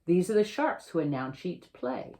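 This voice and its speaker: A woman's voice: on the low side, very animated, moderate pace, dark tone. A woman author reading a picture book aloud, expressively, and explaining details directly to young listeners.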